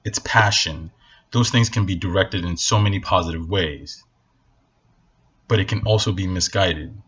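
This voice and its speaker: A fairly low, husky male voice from Northern Nigeria, a native Hausa speaker, ranting bluntly to camera. He pushes his points hard and repeats them for emphasis. The recording sounds dull and lo-fi. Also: normal speed, fairly bright tone. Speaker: vlogger. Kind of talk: casual opinion rant to camera.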